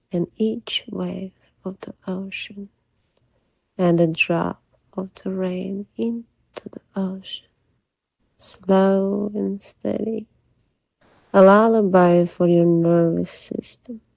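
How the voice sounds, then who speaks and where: A woman leading a guided sleep meditation in a soft, soothing, gentle voice that often drops to a breathy near-whisper. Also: mid-range voice, steady tone, very slow, long pauses, dry recording, lo-fi sound. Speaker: hypnotherapist guide.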